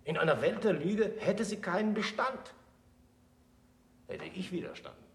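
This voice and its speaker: An actor in a German radio play voicing a disgraced, self-accusing scholar who lectures in a solemn, deliberate, oratorical way. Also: male voice, high voice, creaky. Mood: impassioned, then bitter, resigned. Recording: noisy old radio play recording.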